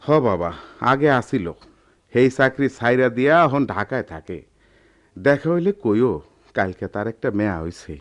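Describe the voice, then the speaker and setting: Old village father male actor, radio drama, rural eastern Bengali dialect, very lively intonation, plaintive, deferential, worried. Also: medium pitch, normal speed, lightly acted. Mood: worried, pleading. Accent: rural eastern Bengali dialect, Bangladesh. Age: older. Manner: plaintive, folksy.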